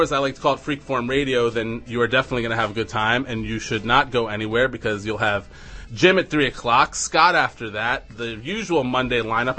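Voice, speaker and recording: Brief: male freeform radio DJ, raspy, rambling, bright tone, studio mic, faint music under. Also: medium pitch. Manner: rambling, self-deprecating, halting restarts. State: raspy from coughing. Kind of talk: casual radio banter. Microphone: studio microphone.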